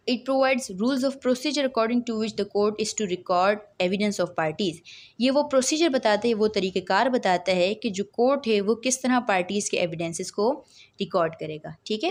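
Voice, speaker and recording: A woman with a Pakistani accent speaks in a fairly high voice as a lecturer. She explains in a didactic way, posing questions and answering them herself, and goes back over key points. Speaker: law lecturer. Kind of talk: explanatory lecture mixing Urdu and English.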